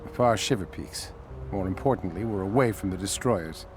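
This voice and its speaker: A man's voice: sits low, moderate pace, lightly acted. A man playing a small, smug, clever inventor creature, self-assured, in a video game cutscene. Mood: smug, composed. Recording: very loud music behind voice.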